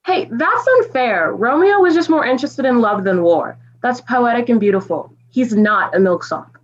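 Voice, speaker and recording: A woman's voice: a little high, lively intonation, normal speed, dull audio. A woman playing an earnest, pedantic, easily flustered storyteller in a comic stage reading. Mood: exasperated.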